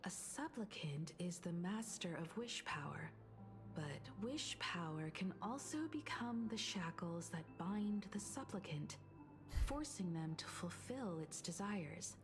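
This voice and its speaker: A slow, wise, grave figure. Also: female voice, fairly low pitch, lively intonation. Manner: grave, deliberate, philosophical. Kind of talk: game voice acting. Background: game music.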